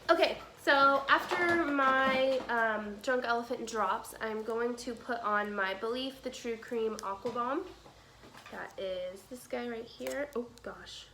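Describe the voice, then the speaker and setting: A young woman in her twenties with a cold, chatting casually through a makeup tutorial at home and sometimes whispering softly. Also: on the high side, slow pace, slight background noise. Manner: chatty, drops to soft whispers while applying products. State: congested with a cold. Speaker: beauty vlogger. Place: small room at home, indoors. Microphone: camera in front at close range.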